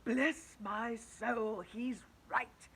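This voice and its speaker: A woman voicing an old green grasshopper in a storybook reading, slow and wailing. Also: fairly high pitch, fairly even intonation, vocal fry, a bit bright, a little hiss or hum, pitched above the performer's own voice, full character voice. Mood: dismayed.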